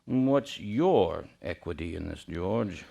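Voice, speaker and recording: A man's voice: deep voice, animated, gravelly, mellow, exaggerated voice. An actor playing a cold, scornful old banker who taunts a desperate man in a radio play. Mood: contemptuous. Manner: sneering, slow and cutting.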